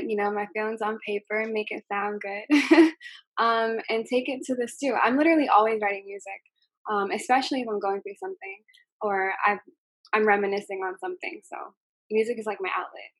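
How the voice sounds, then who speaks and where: A young woman from the United States talks in a soft, gentle voice, full of ums and likes as she answers questions. Her voice sits at an ordinary pitch for a woman. The recording is dry, with little room sound. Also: fairly even intonation, normal speed, husky, no room sound, poor audio. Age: young adult. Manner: hesitant, many ums and likes. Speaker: singer being interviewed. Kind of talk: casual interview answers.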